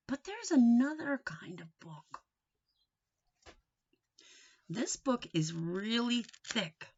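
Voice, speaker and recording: A woman gives a read-aloud lesson for young children in a mid-pitched voice. She explains in simple phrases and puts questions to her listeners, reading with deliberate expression. The sound is a little dull and lo-fi. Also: fairly bright tone.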